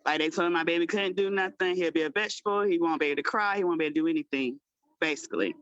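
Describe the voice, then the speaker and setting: A woman's voice: fairly low pitch, rapid-fire, fairly bright tone, no echo, dull audio. A woman in her thirties, a guest mother speaking in a candid, rambling, heartfelt way. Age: 30s. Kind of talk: personal storytelling.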